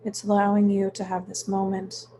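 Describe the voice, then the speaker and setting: A woman leading a guided meditation in a soothing, unhurried manner, with frequent pauses between short phrases. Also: medium pitch, monotone, warm tone, a little hiss or hum. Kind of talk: guided meditation, gentle instruction.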